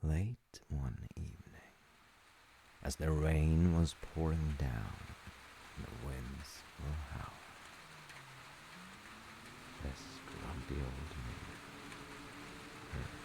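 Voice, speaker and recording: Very deep, gravelly man, slow storyteller. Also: fairly even intonation, slight background noise. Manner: unhurried, halting, with pauses and soft asides. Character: gentle, playful dad telling his child a folk tale. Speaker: audio roleplay voice actor playing a dad. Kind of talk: intimate comforting roleplay, improvised-sounding bedtime storytelling.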